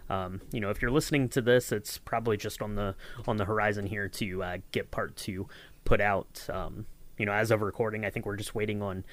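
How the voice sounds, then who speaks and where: A man's voice: lowish voice, steady tone, fairly quick. A man co-hosting a podcast in a casual behind-the-scenes chat, rambling with lots of fillers and restarts. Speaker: podcast co-host.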